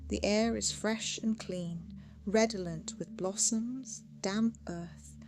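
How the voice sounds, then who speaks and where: A woman narrating a guided meditation in a soothing, measured, hypnotic way, with phrases trailing off into a hush. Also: mid-range voice. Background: music.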